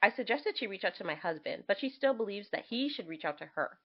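A woman podcast co-host with very lively, swinging intonation. She chats casually and reads letters aloud expressively. The sound is a little dull and lo-fi. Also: mid-range voice, fast talker, husky. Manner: expressive, reacts to what is read.

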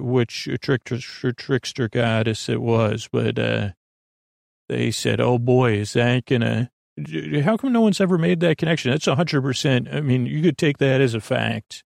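A man hosting a bedtime podcast in a creaky, soothing voice, meandering through halting tangents and interrupting himself. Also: mid-range voice, dry recording, clean studio sound. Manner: lulling, soothing. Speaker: podcast host. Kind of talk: rambling bedtime sleep-podcast monologue.

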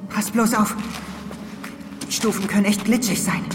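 An actor playing a bold, excitable young boy in an audio drama. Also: mid-range voice, moderate pace, noisy background, natural voice. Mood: wary, daring. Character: Johnny, bold adventurous young boy, whispering bravado. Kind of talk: German audio drama acting.

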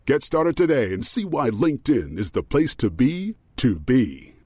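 A man's voice: medium pitch, big pitch swings, unhurried, darkish tone, slightly rough, no echo. A man doing a mock-pompous, tongue-in-cheek voice-over for a comic advertisement, close on a studio mic.

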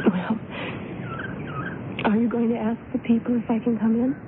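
An actress playing a gentle, timid, polite young woman in a wistful radio drama role. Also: a little high, level tone, normal speed, close to an everyday voice. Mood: timid pleading. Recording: tinny, noisy old radio broadcast recording.

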